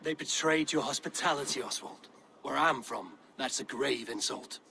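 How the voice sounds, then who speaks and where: An actor playing a bold, blunt Viking warrior who goads and mentors with wry command in a video game cutscene. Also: male voice, medium pitch, moderate pace, gravelly, pushed and bright, slight background noise. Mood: goading, coaching.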